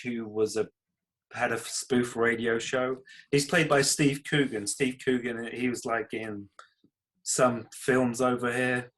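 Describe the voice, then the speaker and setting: A man's voice: mid-range voice, fairly bright tone. A man with a British accent, talking casually and modestly about his own creative work as an interview guest. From United Kingdom. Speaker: podcast guest, comedy writer and creator.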